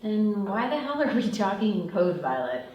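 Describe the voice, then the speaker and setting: A woman's voice: medium pitch, very animated, normal speed, a little mellow, muffled recording, a little hiss or hum, natural voice. A woman playing a cautious, easily exasperated young student thief at a screenplay table read, in an echoey room. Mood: annoyed. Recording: echoey room, distant microphone.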